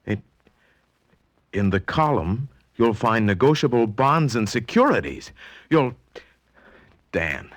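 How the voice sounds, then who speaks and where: An American actor playing an excitable, anxious young heir in a 1940s radio drama, hesitant in his delivery. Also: medium pitch, slow pace, pauses often, no room sound, full character voice. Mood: excited, astonished.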